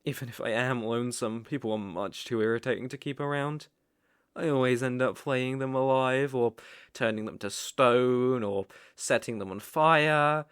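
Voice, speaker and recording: A man's voice: mid-range voice, a bit bright, smooth, no echo, clean studio sound, close to an everyday voice. A man with a British accent playing a cruel, arrogant, mocking evil sorcerer in an ASMR roleplay, speaking in a theatrical, condescending way. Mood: scornful, condescending. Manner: slow, theatrical, menacing, condescending. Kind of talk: ASMR roleplay, dramatic monologue to listener.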